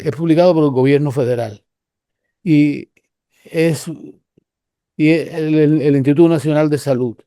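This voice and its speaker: An older Cuban man speaks in a mellow, slightly high voice, his Cuban Spanish accent clear. He rambles through the story with frequent restarts and pauses. The sound is dry and close. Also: no room sound. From Cuba. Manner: rambling, halting with many restarts. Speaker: guest researcher. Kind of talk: casual conversational storytelling.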